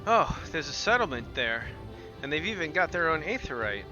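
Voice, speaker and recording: A man's voice: fairly high pitch, sing-song, moderate pace, sharp tone, lo-fi sound, soft background music, voice pushed up, heavily acted. A man voicing an eager, chatty young woman adventurer while reading fantasy game dialogue aloud. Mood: eager, musing.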